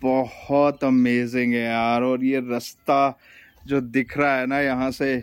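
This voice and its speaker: A man's voice: medium pitch, level tone, slow pace, smooth. A man with an Indian accent chatting casually and enthusiastically as a travel vlogger outdoors, switching between two languages in an informal way. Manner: mixes Hindi and English, informal and enthusiastic. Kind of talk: casual travel vlog chat to camera. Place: outdoors at a hillside viewpoint. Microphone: phone camera.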